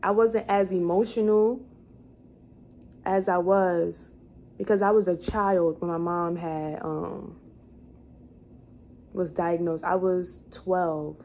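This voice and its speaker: A woman's voice: lowish voice, fairly even intonation, takes it slowly, pauses often, darkish tone. A woman in her twenties chatting casually as a vlogger, rambling as she thinks aloud and corrects herself. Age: 20s. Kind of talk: casual chat, answering questions to camera. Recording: clearly noisy room ambience.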